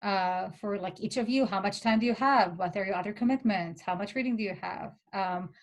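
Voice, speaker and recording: A woman's voice: medium pitch, a little fast. A woman whose first language is not English, a graduate instructor giving reassuring, chatty advice over a video call, with lots of "like" fillers. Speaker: graduate student instructor leading a workshop. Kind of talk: casual advice in an online workshop.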